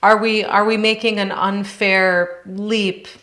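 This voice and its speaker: A woman teacher answering questions in an informal, thoughtful, halting way, often checking with "right?", on a video call. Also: mid-range voice, flat delivery, a bit bright. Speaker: yoga teacher. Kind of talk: informal question-and-answer chat after class. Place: indoor room on a group video call. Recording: roomy, echoing video call sound.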